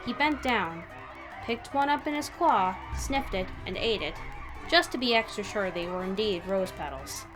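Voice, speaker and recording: Woman, story narrator, medium pitch, expressive scripted reading, dramatic pauses, clear background music. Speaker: fan fiction reader.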